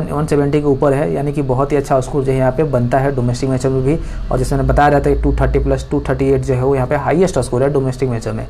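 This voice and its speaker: Man, fast rambling analyst delivery, middle pitch, faint background hiss. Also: darkish tone. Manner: rambling, many fillers and restarts. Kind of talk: screen-recorded commentary talk.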